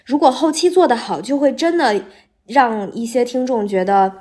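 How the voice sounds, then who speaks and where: A woman with a bright, sweet voice, explaining things step by step in a casual podcast interview as a voice actor guest. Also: fairly high pitch, moderate pace.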